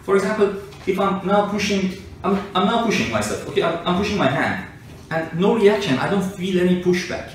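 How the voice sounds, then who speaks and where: Echoey, higher-voiced man explaining informally. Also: animated, a little fast, hardly any pauses. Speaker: explainer, self-described engineer and scientist. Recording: echoey room, distant mic, faint noise.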